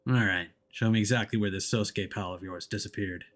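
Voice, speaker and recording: Man acting a low, world-weary detective. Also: steady tone, brisk, a bit bright, faint music behind, lightly acted. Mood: dry, softened. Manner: dry, terse, understated. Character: world-weary, gruff, protective male detective. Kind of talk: visual novel character voicing.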